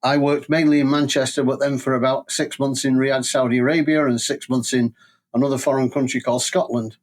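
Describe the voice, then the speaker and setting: A man's voice: medium pitch, level tone, fairly quick. An older man with a Manchester English accent, telling stories conversationally as an interview guest. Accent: Mancunian English. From England. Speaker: podcast guest, safety training company president. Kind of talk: conversational career storytelling.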